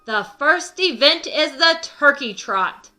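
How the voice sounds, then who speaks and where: A storybook chicken calls the races like an announcer, as a woman reader puts him on. The voice is raised, loud and emphatic, with a bright edge. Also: high voice, lively intonation, takes it slowly, faint music behind, pitched above the performer's own voice, exaggerated voice. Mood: announcing. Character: male farmyard chicken announcing races loudly.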